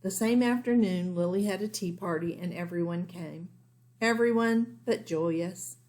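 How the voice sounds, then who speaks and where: A woman's voice: on the low side, moderate pace, a little mellow. A woman teacher reading a children's storybook aloud expressively, explaining things simply for young listeners.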